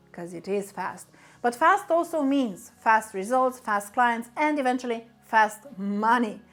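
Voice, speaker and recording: A woman, a business coach speaking earnestly and directly to camera with motivational encouragement. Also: fairly high pitch. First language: Romanian.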